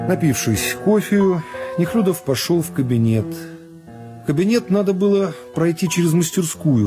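A man reading literary narration for a radio production in a measured, expressive way. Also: on the high side.

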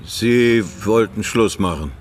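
An actor playing a probing interrogator in a radio drama, putting short, terse questions, with some background noise. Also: male voice, on the low side, slow pace. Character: probing, matter-of-fact male interrogator questioning witnesses.

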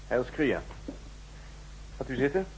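Stern dutch spymaster in old radio drama, very formal, sardonic, slight noise. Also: male voice, mid-range voice, animated. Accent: Netherlandic Dutch. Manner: pompous, formal, deliberate emphasis. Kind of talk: 1960s radio drama acting. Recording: noisy 1960s radio recording.

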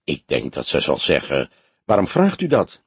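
A man voicing a shrewd, teasing old police detective in an audiobook, with a probing, deliberate way of talking. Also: rumbling low, wildly swooping pitch, slightly rough, no echo, voice pushed down, heavily acted. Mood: shrewd, measured.

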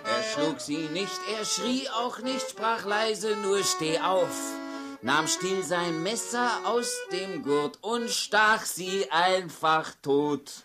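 A weary drinking robber who makes his living singing in taverns. He delivers his ballads very slowly, with prominent accordion and guitar behind him, in radio-play style. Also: sits high, expressive. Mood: mocking, wry. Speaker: tavern musician. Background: accordion and guitar music.

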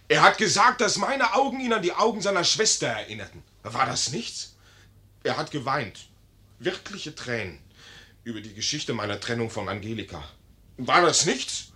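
An actor playing a young, hot-headed and naive army lieutenant, indignant and declamatory, in a 1950s German radio play. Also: male voice, on the high side, bright, forceful tone, exaggerated voice.

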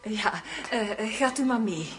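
A woman's voice: on the high side, measured pace, over music, natural voice. A woman in a radio play playing a timid, deferential nun who speaks hesitantly and meekly. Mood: meek, obliging. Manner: hesitant, meek. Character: timid, deferential nun answering the convent door.

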